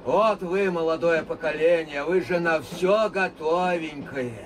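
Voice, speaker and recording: Lecturing old veteran on a train, played by an actor, noisy background. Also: male voice, fairly high pitch, unhurried, natural voice. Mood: heated. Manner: lecturing, grows heated and emphatic. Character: older male Soviet veteran, boastful and lecturing. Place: train compartment.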